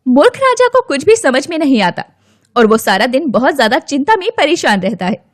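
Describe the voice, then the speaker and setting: High, animated woman storyteller. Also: brisk, dry recording, clean studio sound. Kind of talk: expressive scripted children's story narration.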